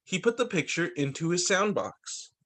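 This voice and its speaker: A man teacher reading picture books aloud to young children, with deliberate, sing-song phrasing. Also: high voice, expressive, a bit bright.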